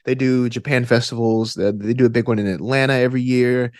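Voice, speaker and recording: A man's voice: medium pitch, fairly even intonation, fast talker, dry recording. Young Southern man chatting casually, dry close sound. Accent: Alabama Southern. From Alabama. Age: 20s. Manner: enthusiastic, informal slangy talk. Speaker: guest, actor and stage combat student. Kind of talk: casual interview chat.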